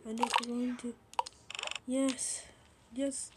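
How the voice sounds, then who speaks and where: A woman's voice: fairly high pitch, flat delivery, drawn-out words. A woman improvising an amateur fan dub of a cartoon, repeating short exclamations over and over. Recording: noisy amateur recording with clicks.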